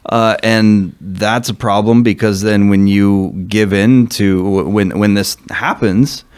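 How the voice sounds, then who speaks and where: A male pastor speaking earnestly as a podcast guest, thinking aloud with many pauses and fillers. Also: fairly low pitch, steady tone, a little mellow, smooth, no echo. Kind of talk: discussion guest.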